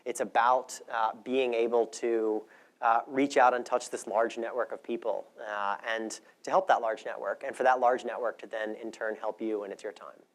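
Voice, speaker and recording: Male conference speaker, medium pitch, thoughtful and conversational, explaining ideas to an audience. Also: flat delivery, moderate pace.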